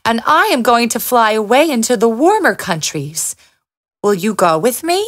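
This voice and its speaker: A woman voicing a gentle, grateful swallow in a fairy tale, speaking earnestly. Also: fairly high pitch, big pitch swings, moderate pace.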